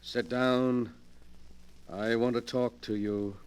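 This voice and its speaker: An actor playing a calm, smug male schemer who coaxes and manipulates, in 1940s radio acting style. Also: medium pitch, takes it slowly, smooth, lightly acted. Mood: coaxing. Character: scheming, smug male collector of killers' relics.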